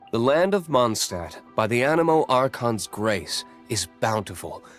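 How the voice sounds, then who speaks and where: Casting: aloof young game nobleman, low-pitched, formal and curt, music behind. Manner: reserved, measured, curt, formal. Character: aloof, brooding young nobleman warrior and tavern owner. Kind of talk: video game character voice lines.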